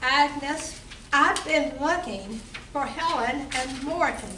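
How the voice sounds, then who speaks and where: A woman playing a brisk, saucy barmaid in an amateur stage reading, projecting her lines deliberately. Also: high voice. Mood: brisk, inquiring. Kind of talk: amateur community theatre reading. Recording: roomy, distant mic with background noise.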